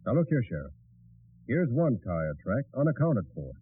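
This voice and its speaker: An actor playing a brisk, commanding American master detective who gives decisive, clipped instructions in a 1940s radio drama. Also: male voice, mid-range voice, sing-song, slightly rough, no room sound. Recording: muffled, band-limited old radio broadcast.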